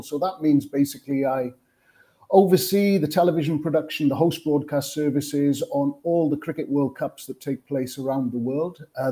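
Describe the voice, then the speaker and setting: A Welsh man in his fifties speaks as a guest in an interview, telling things in a conversational way. His voice is soft-edged and mellow, pitched slightly above the middle for a man. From Wales. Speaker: interview guest, television sports producer. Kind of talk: conversational career recollection in a panel interview.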